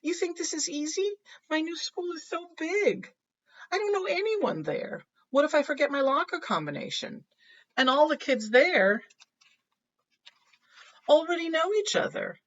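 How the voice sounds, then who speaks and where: A nervous young boy spills out his fears in a rush, his voice pitched up high as a woman reading aloud takes him on. He sounds fretful and rambling, and the recording is dull and lo-fi. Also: sing-song, measured pace, pauses often, fairly bright tone, exaggerated voice. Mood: worried. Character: anxious young boy pouring out worries.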